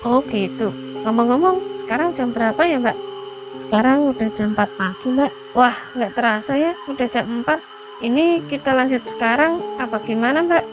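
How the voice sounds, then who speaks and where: A woman's voice: fairly high pitch, moderate pace, music in the background, close to an everyday voice, rough recording. A woman playing a friendly, polite and chatty young female hiker in a cartoon. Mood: curious. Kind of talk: cartoon dialogue acting.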